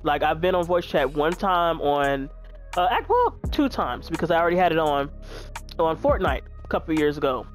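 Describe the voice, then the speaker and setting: A woman in her twenties with a deep voice, chatting candidly to her viewers while she plays a video game. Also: sing-song, moderate pace, faint music behind. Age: 20s. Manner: frank, chatty, talks straight to viewers. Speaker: gaming YouTuber.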